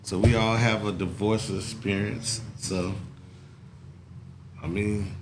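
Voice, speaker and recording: A man's voice: on the low side, steady tone, slow, background noise. A man in his forties hosting a casual talk show, rambling and laid-back, with "you know what I'm saying" as a frequent filler. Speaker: podcast host. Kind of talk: casual chat.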